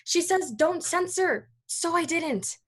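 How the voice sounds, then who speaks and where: A woman playing a guarded, conflicted young college student in a staged reading, halting and sighing as the character deflects. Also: very high-pitched, lively intonation, a bit bright, heavily acted. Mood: defensive, dismissive. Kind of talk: live staged reading of a play over video call. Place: online video call staged reading.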